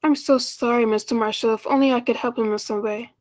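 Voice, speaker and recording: Woman playing a lonely schoolteacher in her thirties, high voice, earnest, tender, polite, old-time radio play. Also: fairly even intonation, a little fast, natural voice. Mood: apologetic, confessing. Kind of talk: 1940s radio play acting.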